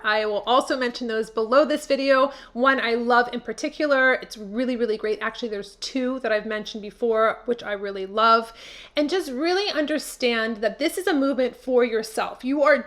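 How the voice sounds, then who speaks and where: Upbeat woman host with a higher voice. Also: a bit bright. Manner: emphatic, encouraging, repeats key words. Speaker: beauty channel host. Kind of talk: talking to camera, giving tips.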